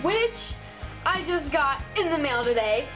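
A woman's voice: high-pitched, sing-song, a little slow, music in the background. A young woman with a Southern Ohio twang, chatting casually to her webcam in a small bedroom, bubbly and gushing, full of ums and likes. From Midwest United States. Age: young adult. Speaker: vlogger. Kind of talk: casual chat to camera. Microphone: built-in webcam mic.

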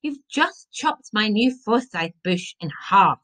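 A woman's voice: medium pitch, lively intonation, takes it slowly, a bit bright, pitched above the performer's own voice. A woman voicing a flustered, fretful father who complains and panics easily in a story. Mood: alarmed.

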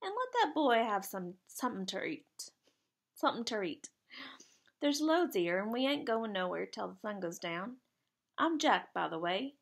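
A woman's voice: medium pitch, sing-song, moderate pace, gravelly. A woman voicing a plucky, friendly working-class British boy from a children's storybook, speaking earnestly in slangy, colloquial grammar. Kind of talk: children's storybook character read aloud.

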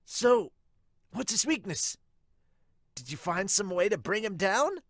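A man voicing an eager, cheerful teenage baseball pitcher in a manga dub, brash and excitable. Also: unhurried, no room sound, exaggerated voice. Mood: excited, nosy.

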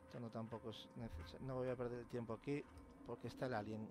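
A man's voice: mid-range voice, level tone, a little fast, noisy background. A man with a Castilian accent from Spain gives casual, sweary horror game commentary, muttering to himself. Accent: Castilian Spanish from Spain. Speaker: gamer, host of a let's play series. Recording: loud game ambience throughout. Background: loud horror game ambience.

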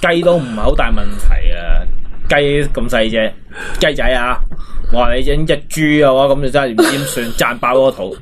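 A man's voice: mid-range voice, lively intonation, normal speed, fairly bright tone, slight background noise. A man with a Hong Kong accent chatting casually as a talk show host, making satirical points in a mock-serious way.

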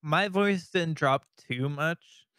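A young man from Canada chatting as a podcast co-host, rambling with many restarts and nervous laughs, with a fairly high-sounding voice. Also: slow, a bit bright, smooth, dry recording, clean studio sound. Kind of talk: casual podcast chat.

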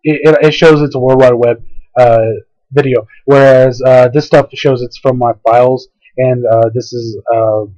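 A man narrating a casual, unscripted software tutorial, hesitant and rambling, his voice tiring as his throat gives out. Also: mid-range voice, no room sound. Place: indoors at a computer, screen-capture voice-over. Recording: dull lo-fi home screen recording.

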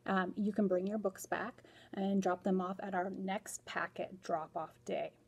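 A woman's voice: mid-range voice, little pitch movement, a little slow, gravelly, husky. An American woman in her forties, a school librarian, explaining things to pupils at a desk in a small room. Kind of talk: instructional chat to pupils.

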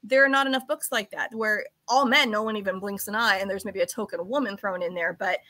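Bright, chatty woman interview host. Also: mid-range voice, a little fast, dry recording. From mainland United States. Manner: enthusiastic, chatty. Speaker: podcast host. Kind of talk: casual video-call interview. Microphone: video call.

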